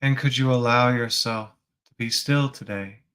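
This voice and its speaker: A man leading a guided spiritual meditation as a teacher, gently prompting the listener with reflective questions. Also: medium pitch, normal speed, clear, resonant tone.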